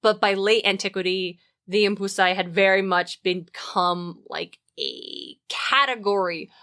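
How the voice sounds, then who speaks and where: A woman's voice: medium pitch, unhurried, bright, forceful tone, clear, resonant tone, no room sound, clean studio sound. A woman co-hosting a podcast, chatting casually and informally about mythology as she thinks aloud. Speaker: podcast co-host.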